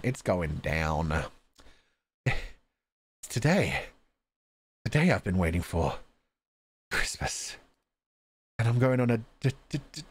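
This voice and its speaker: A man streaming a game, reading its dialogue aloud with jokey ad-libs in a playful, animated manner. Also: medium pitch, a little hiss or hum. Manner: animated, stammering for effect, jokey. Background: visual novel game music.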